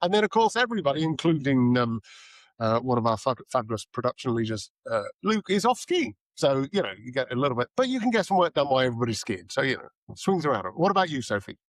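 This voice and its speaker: A hoarse man with a cold co-hosting a tech podcast, chatting in a rambling, self-deprecating way. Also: medium pitch, very animated, quick pace, no echo. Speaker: podcast co-host. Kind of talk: casual podcast chat.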